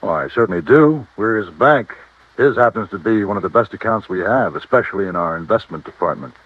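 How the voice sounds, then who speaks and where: Chummy banker, thin radio sound. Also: male voice, fairly low pitch, lively intonation, brisk, husky, narrow, tinny audio. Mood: genial. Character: genial, loyal male bank cashier, old friend. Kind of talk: 1950s radio acting. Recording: dry, thin old radio recording.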